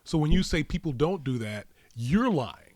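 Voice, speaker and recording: A man with a lively, swooping and fairly high voice argues his points on a casual podcast. He leans on rhetorical questions and incredulity, recorded close and dry. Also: normal speed, no echo. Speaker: podcast co-host. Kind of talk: casual debate and banter.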